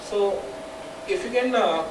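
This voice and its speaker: A panel speaker with an Indian accent giving a semi-formal presentation for a startup, speaking in a halting way and often repeating words. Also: medium pitch. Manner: halting, repetitive phrasing. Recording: distant echoey mic with clear background noise.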